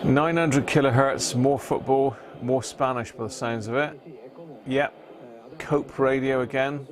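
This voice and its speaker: A man chats casually while tuning through the bands, greeting viewers by name. His voice sits at an ordinary pitch with a faint hiss behind it. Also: steady tone, a little slow. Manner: chatty, reads out viewers' names and reports. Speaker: radio hobbyist hosting a live stream. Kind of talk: casual live commentary while tuning a radio.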